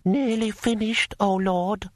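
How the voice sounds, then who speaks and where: Guy putting on a high nervous eunuch scribe, fussy, slow n deferential, storybook reading. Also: steady tone, no room sound, studio quality. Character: timid, fussy male court eunuch scribe.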